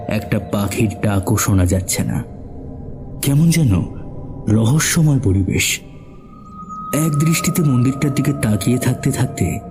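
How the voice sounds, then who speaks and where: A man narrating a scripted suspense story as the narrator of an audio drama. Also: fairly low pitch.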